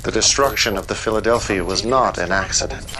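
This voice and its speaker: Actor as a grandiose, fanatical cult leader. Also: male voice, lowish voice, brisk, noisy background, natural voice. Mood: grandiose triumph. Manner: oratorical, solemn proclamations. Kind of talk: scripted video game propaganda speech.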